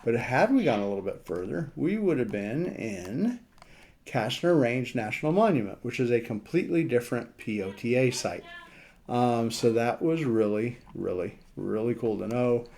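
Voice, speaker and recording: A man giving a casual screen walkthrough as a radio hobbyist, thinking aloud in a halting way. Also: medium pitch, animated, a little mellow, a little hiss or hum. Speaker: amateur radio operator presenting a map demo.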